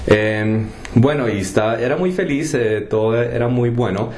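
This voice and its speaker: A young man from the United States telling his personal story in a casual, halting way, with many fillers and restarts. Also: medium pitch, darkish tone, a little hiss or hum. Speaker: testimony vlogger. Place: home kitchen.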